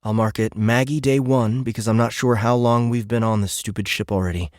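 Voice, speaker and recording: A man's voice: medium pitch, fairly even intonation, brisk, darkish tone, dry recording, studio quality, lightly acted. A man voicing a weary, protective teenage boy who reassures younger kids in a science fiction audiobook. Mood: half-hearted, kindly.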